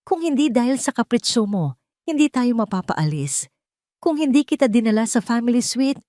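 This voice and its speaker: A woman's voice: fairly high pitch, very animated, normal speed, no echo, studio quality, voice pushed up. A woman playing a proud, fallen ex-hotel boss man. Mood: angry. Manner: loud, indignant outbursts.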